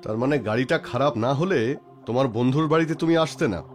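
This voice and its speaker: An actor playing a jovial, teasing bank manager in a dubbed story drama. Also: male voice, mid-range voice, fairly quick, soft background music, lightly acted. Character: jovial, teasing bank manager hosting his doctor friend.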